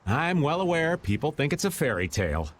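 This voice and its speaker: A man's voice: on the high side, very animated, a little fast, a little hiss or hum. A man voicing an easygoing, grumbling older adventurer who teases, in a video game dub. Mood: matter-of-fact.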